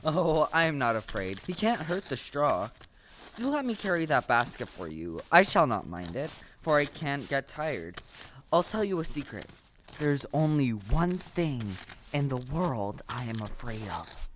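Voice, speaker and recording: Friendly, naive male scarecrow played by a woman for a stage audio play: low, very animated, earnest and polite. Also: a little slow, a little hiss or hum, full character voice. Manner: exclamatory, earnest, formally polite. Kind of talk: stage radio play acting.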